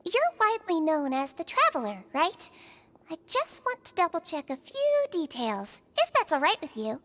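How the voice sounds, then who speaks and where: A small, gentle nurse speaks in an extremely high, childlike voice. The intonation is highly melodic, polite and sincerely caring, giving careful instruction in the style of a video game character. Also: female voice, huge pitch swings, moderate pace, slight background noise, heavily acted. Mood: polite, attentive.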